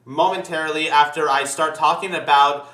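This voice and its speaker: Guy podcast host with a bright high voice, ranting and swearing, super emphatic. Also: steady tone, normal speed. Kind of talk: casual opinionated chat. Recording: echoey room.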